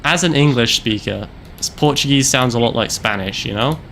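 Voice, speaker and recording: Young man, game streamer, bright tense voice, joking rambling chatter, faint background hiss. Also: medium pitch, normal speed, creaky. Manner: joking, rambling, lots of fillers. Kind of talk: casual commentary while playing.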